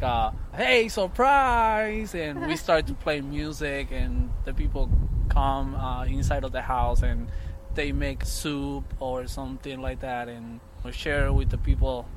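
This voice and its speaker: A man's voice: mid-range voice, steady tone. Man on a noisy street. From Puerto Rico. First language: Spanish. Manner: halting, searching for words, often repeats himself. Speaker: interviewee on the street. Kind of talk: casual chat. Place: outdoors on a city street. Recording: street noise with passing traffic.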